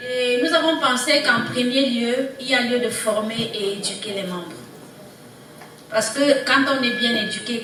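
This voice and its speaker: A woman presenting a group report to a party meeting, halting and repeating words as she goes. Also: fairly high pitch. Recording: echoey hall, distant mic, background noise.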